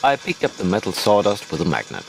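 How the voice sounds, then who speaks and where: A man's voice: lowish voice, wildly swooping pitch, fast talker, gravelly, slight background noise. A man narrating a hobby tutorial as a voice-over, hosting his own how-to video.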